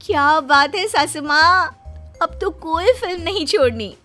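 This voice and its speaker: A woman voicing an excitable, film-crazy young daughter-in-law in a comic story, gushing and eager. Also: very high voice, unhurried, soft background music, voice pushed up, full character voice. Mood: excited.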